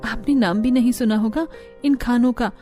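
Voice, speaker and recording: A woman acts out a spoiled young daughter-in-law from a cartoon story. The voice is high and soft-edged, with a whiny, bragging, dramatic delivery over music. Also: moderate pace, lightly acted. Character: boastful, spoiled young newlywed daughter-in-law flaunting her in-laws' riches. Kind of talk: Hindi cartoon story dialogue.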